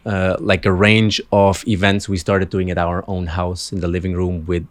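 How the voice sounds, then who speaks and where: A man with a Swiss accent speaks in a low voice, picked up dry and close. He answers as an interview guest in a casual, self-effacing, slightly tentative way. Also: level tone, moderate pace, no echo. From Switzerland. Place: room in a college with an open window.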